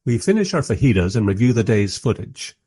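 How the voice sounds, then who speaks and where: A man's voice: on the low side, sing-song, brisk, mellow, slightly rough. A man playing a reflective documentary maker who narrates a radio play in a wry, literary, musing way.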